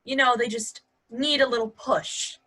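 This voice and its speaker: A woman's voice: a little high, steady tone, unhurried, bright, forceful tone, low-quality recording. A woman acting in a play reading as a sly, upbeat wife, teasing and persuasive. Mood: coy. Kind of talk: virtual stage play reading.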